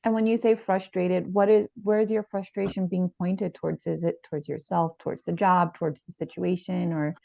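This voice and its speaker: A woman playing a calm, probing therapist in a dramatized session, asking measured, tentative questions. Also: lowish voice, gravelly, dry recording. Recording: dull lo-fi sound.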